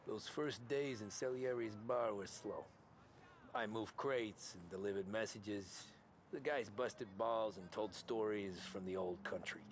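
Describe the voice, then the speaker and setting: An actor playing a young mob recruit who narrates his own story in a low-key, dry, understated way. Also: unhurried, a little hiss or hum, lightly acted. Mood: reflective. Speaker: narrator and protagonist. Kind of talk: reflective game narration.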